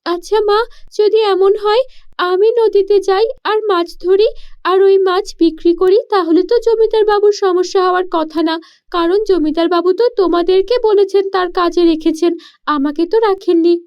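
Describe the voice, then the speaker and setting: A woman's voice: very high-pitched, steady tone, normal speed, dull audio, pitched above the performer's own voice. A woman voicing a poor, spirited village girl in a cartoon story, earnest and devoted to her family. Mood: hopeful. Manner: earnest, protesting, questioning.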